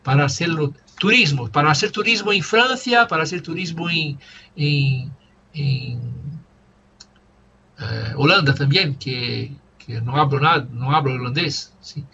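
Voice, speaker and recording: A man whose native tongue is Portuguese talks slowly, stopping often to hunt for the right word. His voice sounds bright and a little high. The audio is somewhat muffled, with faint background noise. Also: vocal fry. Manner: halting, searching for words, many fillers. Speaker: language student. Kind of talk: casual conversation practice.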